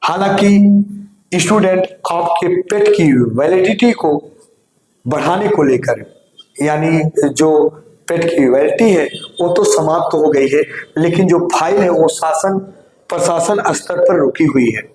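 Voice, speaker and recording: A man who teaches exam coaching gives students a news update in an earnest voice. He sounds slightly hoarse and keeps urging them to listen carefully. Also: a little high, darkish tone, a little hiss or hum. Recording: roomy echo.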